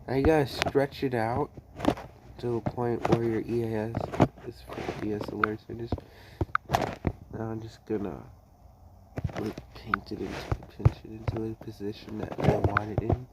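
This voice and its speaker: Hesitant man over loud background noise. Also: fairly low pitch, little pitch movement, moderate pace. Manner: hesitant, repeats and restarts phrases often. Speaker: tutorial host. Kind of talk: casual screen-recording tutorial.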